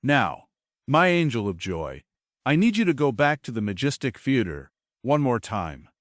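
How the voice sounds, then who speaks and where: A man's voice: mid-range voice, big pitch swings, dry recording, studio quality, close to an everyday voice. Man as grand, sweeping theatrical God. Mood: solemn warning. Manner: theatrical, solemn, measured. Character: grand, benevolent yet stern God. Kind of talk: stage script table read.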